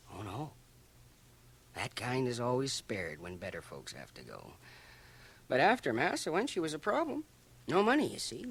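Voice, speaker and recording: A man's voice: a little high, fairly bright tone, breathy edge, heavily acted. A man playing a folksy, gossipy small-town barber with a Canadian accent in a radio drama, chuckling and dropping into confidential asides. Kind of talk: radio drama acting, rambling monologue. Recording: 1980 radio drama, home cassette transfer.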